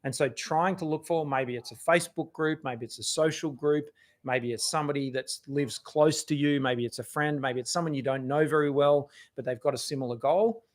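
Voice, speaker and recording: A middle-aged Australian man with a voice worn hoarse, giving chatty, encouraging advice on a live video talk, with many restarts. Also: on the high side, a little fast. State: losing his voice. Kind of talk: casual motivational live talk.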